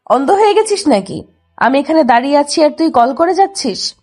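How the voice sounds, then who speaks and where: A woman playing a hot-tempered, sharp-tongued young college girl who scolds her cousin in an audio love story. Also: high voice, big pitch swings, brisk. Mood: indignant. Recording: music under the voice.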